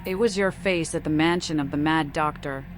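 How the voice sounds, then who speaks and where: A woman's voice: sits low, moderate pace, close to an everyday voice. An actress voicing a mad female vampire seer in a video game, theatrical and cryptic. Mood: mocking, probing. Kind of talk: video game protagonist voice-over. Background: music.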